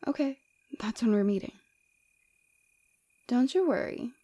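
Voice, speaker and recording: A woman playing a flirtatious, forward rebel villainess with a secretly caring side, in a soft, teasing, intimate ASMR roleplay. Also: on the high side, expressive, a little slow. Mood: confiding, teasing.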